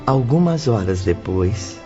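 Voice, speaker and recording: A man acting as the measured, formal narrator of a spiritist audio drama. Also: mid-range voice, lively intonation. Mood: solemn. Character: solemn male narrator of a spiritist audio novel. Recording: dull lo-fi audio with music behind. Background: clear background music.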